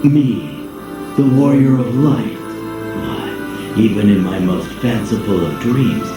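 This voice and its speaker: An actor playing a solemn, weary, courtly dark warrior in a video game, speaking formally and gravely. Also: male voice, on the low side, slow. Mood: wistful, awed. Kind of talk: dramatic video game voice acting. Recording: echoing voice under loud music.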